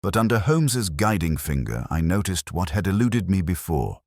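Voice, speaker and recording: A man with an extremely low voice narrates a mystery tale in scripted storybook fashion. His delivery moves up and down in lively swings, and the recording is dry and close. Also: moderate pace, no echo. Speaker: audiobook narrator telling the tale as a detective's companion.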